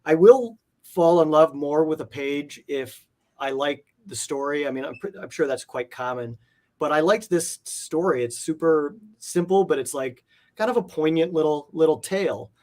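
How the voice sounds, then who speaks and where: A man's voice: fairly high pitch, moderate pace, a little mellow. A man speaking casually as an interview guest, explaining things in a hesitant, circling way. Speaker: guest, comic art collector.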